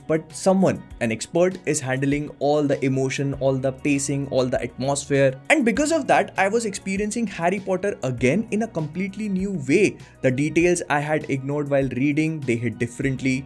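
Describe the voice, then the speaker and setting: A young man hosting a vlog, eagerly recommending something in an emphatic storytelling way. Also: on the high side. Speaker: channel host. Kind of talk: sponsored recommendation monologue.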